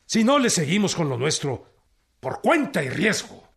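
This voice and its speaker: An actor playing a bold, defiant Mexican peasant revolutionary leader in a radio drama, with plain rural speech. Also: male voice, on the high side, sing-song, normal speed, vocal fry. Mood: resolute. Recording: echoey, noisy crowd ambience.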